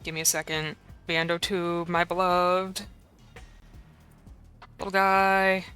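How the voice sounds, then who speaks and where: A slightly hoarse woman streamer in casual live-stream chat, rambling and playful as she talks to her chat. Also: on the low side, flat delivery, takes it slowly, sharp tone, soft background music.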